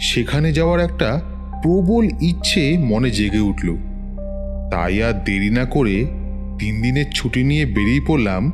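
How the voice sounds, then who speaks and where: A man's voice: medium pitch, expressive, music in the background, close to an everyday voice. A man playing a self-assured middle-aged village tantric, telling a horror tale dramatically in a West Bengal accent. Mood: grave, reminiscing. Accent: Bengali from West Bengal, India. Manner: boastful, dramatic, unhurried storytelling. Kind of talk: dramatized horror story narration.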